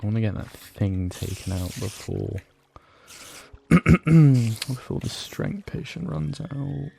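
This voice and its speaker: A man with a croaky, weak voice, rambling hesitantly through casual gameplay commentary with many fillers and frequent throat clearing. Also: medium pitch, animated, slow pace, dark tone. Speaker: let's play gamer. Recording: noisy home recording.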